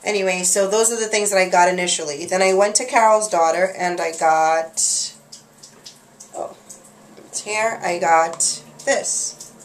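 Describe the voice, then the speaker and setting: A woman's voice: on the low side, fairly even intonation, unhurried, a little hiss or hum. A young woman vlogging about her hair at home, chatting casually with lots of fillers, a little nasal from a cold, recorded on a webcam. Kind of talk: casual chat to camera. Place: small bathroom at home. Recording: echoey small room.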